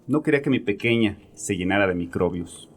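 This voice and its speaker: A man's voice: fairly low pitch, lively intonation, fairly quick, close to an everyday voice. A man playing a bitter, domineering grieving father who confides in a therapist with agitated, sarcastic arrogance in a horror audio drama. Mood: agitated, self-justifying.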